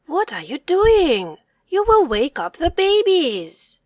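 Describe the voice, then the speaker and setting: A woman voicing a pair of busy parent birds who speak high, loud and scolding. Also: huge pitch swings, takes it slowly, no room sound, studio quality, full character voice. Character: busy bird parents, brisk and hurried.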